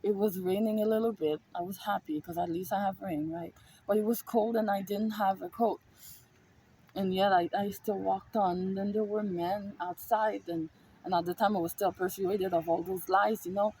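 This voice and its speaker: A woman's voice: mid-range voice, steady tone, moderate pace, dark tone, slight background noise, low-quality recording. A woman vlogger telling a personal story in a casual monologue, emphatic and repetitive, swearing freely. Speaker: spiritual vlogger.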